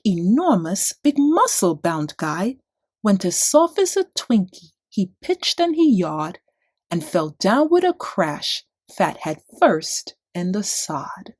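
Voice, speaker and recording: A woman's voice: mid-range voice, a little mellow, no echo, clean studio sound. A woman storyteller reading a rhyming children's book aloud expressively.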